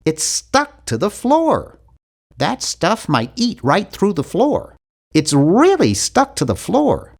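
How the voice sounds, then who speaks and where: A man's voice: high voice, huge pitch swings, slow pace, vocal fry, exaggerated voice. An actor playing a nerdy, earnest, awkward young man in an adventure game, with a geeky, fussy, wryly polite delivery. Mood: matter-of-fact, fussy. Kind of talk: scripted video game voice lines.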